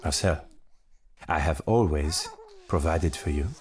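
A man's voice: extremely low pitch, unhurried. An actor playing a proud, controlling husband and father in an audio drama. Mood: stern, defensive.

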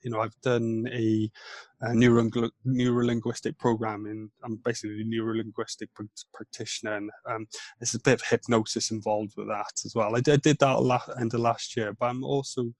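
A man's voice: medium pitch, little pitch movement, a little mellow, no echo. A Welsh man in his thirties, a podcast guest talking in a reflective way with many restarts. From Wales. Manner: reflective, halting with frequent restarts. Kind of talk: casual interview chat.

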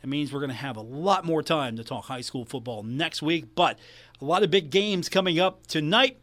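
A man's voice: a little high, normal speed, a bit bright. A man hosting a live sports radio show in a casual announcing style.

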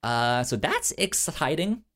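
A man co-hosting a casual pop-culture news chat, stuttering on sounds and syllables, with halting, informal phrasing. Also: a little high, sing-song, fairly bright tone. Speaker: podcast co-host.

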